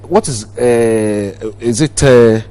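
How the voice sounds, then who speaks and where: A man's voice: mid-range voice, takes it slowly, dark tone. A man hosting a casual interview, playful and teasing, sliding into pidgin as he jokes with his guest.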